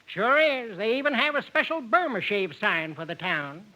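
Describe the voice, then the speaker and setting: Very high-pitched folksy farmer. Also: male voice, big pitch swings, normal speed, sharp tone, full character voice. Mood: folksy, amused. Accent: American. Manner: rustic, folksy joke-telling. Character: folksy wisecracking male farmer from a small town. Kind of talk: 1950s radio comedy acting. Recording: noisy old radio recording with clicks.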